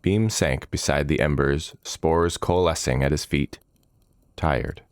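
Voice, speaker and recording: A man reading dramatic fantasy narration in a measured, solemn manner, giving short phrases weight. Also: very deep voice, clean tone, dry recording, studio quality.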